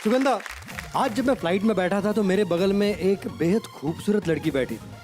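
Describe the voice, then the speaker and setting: A man with a fairly high voice co-hosts an award show, setting up jokes and announcing presenters. Music and a crowd are heard behind him. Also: fairly quick. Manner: joking, storytelling. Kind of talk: stage emcee with scripted jokes.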